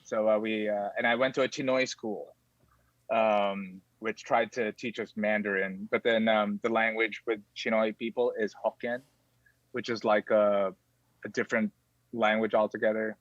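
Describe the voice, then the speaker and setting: A man from the Philippines whose first language is Tagalog, speaking with an American accent as a guest in a casual interview, rambling with many fillers and sudden high jumps. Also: flat delivery, moderate pace, no room sound, highs cut off.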